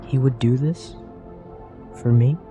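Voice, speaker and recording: A man's voice: mid-range voice, steady tone, slow pace, natural voice. Man playing an earnest, searching youth over music. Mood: awed, questioning. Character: earnest, troubled, searching teenage boy. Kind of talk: audio drama acting.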